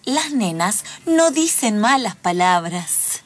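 A woman's voice: mid-range voice, very animated, slow pace. A woman playing a strict, doting mother with old-fashioned ideas, in an improvised radio play. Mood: scolding. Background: music.

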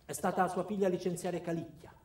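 A man acting a dark-comic monologue as a coldly cruel company man, speaking in a deliberate deadpan. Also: high voice. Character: ordinary company man turned coldly cruel, addressing his boss. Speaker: actor performing a solo monologue. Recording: roomy, echoing sound.

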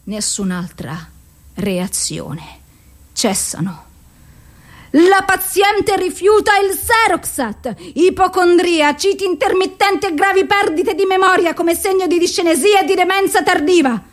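Radio drama: female clinical reporter, very high voice, clipped theatrical recital of symptoms and doses. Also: expressive, unhurried. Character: clinical female voice reciting a psychiatric case file.

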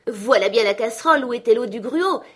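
A woman's voice: fairly high pitch, normal speed, darkish tone, natural voice. A woman voicing a giddy, reborn old miser in an exclamatory, breathlessly exuberant storybook reading. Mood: excited wonder.

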